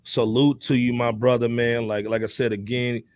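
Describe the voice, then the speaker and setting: A man's voice: mid-range voice, level tone, normal speed, darkish tone, no room sound. A man co-hosting a hip hop podcast live, talking in a loose, laid-back way and ending almost every phrase with "man". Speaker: podcast co-host. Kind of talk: casual hip hop chat on a live stream.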